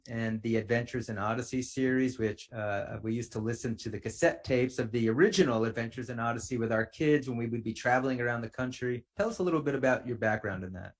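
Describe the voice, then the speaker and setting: A middle-aged man speaking as a podcast host and interviewer, with an articulate and measured delivery. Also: mid-range voice.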